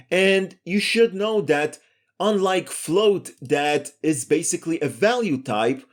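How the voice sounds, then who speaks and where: A man giving a step-by-step screencast programming lesson in a methodical, explanatory way. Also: on the high side. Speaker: programming tutorial instructor.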